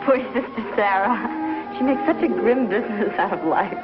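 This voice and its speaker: A woman's voice: medium pitch, normal speed, natural voice. An actress playing a refined, sensitive young frontier wife and mother in a 1950s television western. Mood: tender. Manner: refined, ladylike. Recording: muffled 1950s TV soundtrack, music behind.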